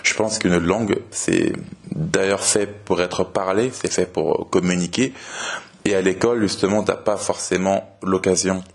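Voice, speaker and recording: A young man from France in his twenties hosts a podcast, talking slowly and clearly for learners in a relaxed, steady way with frequent hesitations. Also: low voice. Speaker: podcast host.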